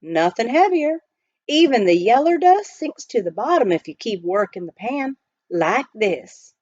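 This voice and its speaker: A woman voicing a friendly, rough-hewn young gold miner in folksy frontier dialect for a children's storybook. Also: fairly high pitch, sing-song, measured pace, darkish tone, lo-fi sound, voice pushed up. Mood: friendly, instructive. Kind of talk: children's storybook read aloud.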